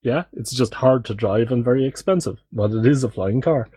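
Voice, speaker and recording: Male chat guest, husky, dry deadpan humour, very dry sound. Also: medium pitch, a little fast, no room sound. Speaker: podcast chat participant. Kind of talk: casual chat.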